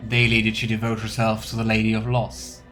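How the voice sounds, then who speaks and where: A man's voice: fairly low pitch, completely flat delivery, fairly quick. A man hosting a video game let's play, commenting and reading game texts aloud over game music. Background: soft game music.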